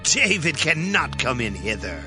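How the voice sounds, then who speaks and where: A man's voice: medium pitch, sing-song, music in the background, pitched above the performer's own voice. A man voicing a mocking, defiant city defender who taunts in a scripture drama. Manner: slow, taunting.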